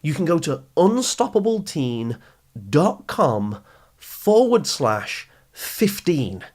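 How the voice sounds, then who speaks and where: A man hosting a podcast, speaking to listeners in an encouraging, instructional way with emphatic phrasing. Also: on the high side. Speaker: podcast host and education speaker. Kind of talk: scripted podcast narration, addressing listeners directly.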